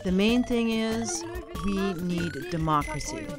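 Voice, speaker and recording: A woman's voice: mid-range voice, sing-song, takes it slowly, over music. A woman giving an earnest documentary voice-over translation.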